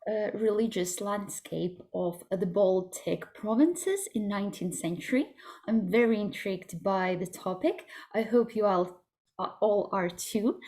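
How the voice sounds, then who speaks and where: Slow, halting woman moderator. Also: medium pitch, darkish tone. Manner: halting, many fillers. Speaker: event moderator. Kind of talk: hesitant introduction. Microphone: video call.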